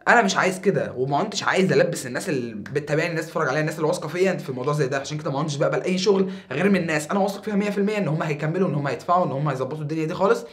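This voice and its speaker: Egyptian guy in his 20s vlogging to camera, talks super fast, kinda high voice, waves his hands around, sounds a bit tired. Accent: Egyptian Arabic. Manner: animated, gestures a lot with his hands. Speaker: YouTuber. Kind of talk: casual talk to camera. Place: small room with acoustic foam panels.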